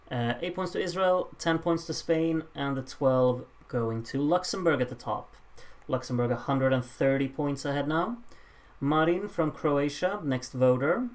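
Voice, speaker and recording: A mid-pitched voice hosts a live voting show in a casual way. The results are announced with stretched-out suspense, broken up by dry, offhand remarks to the viewers. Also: a little mellow. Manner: dry, offhand asides to chat between announcements. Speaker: host announcing song contest voting results. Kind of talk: casual live commentary on a points vote.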